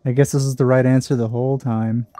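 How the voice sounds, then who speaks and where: A man giving casual, musing let's play commentary on a game, in a halting way. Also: medium pitch, little pitch movement, noisy background.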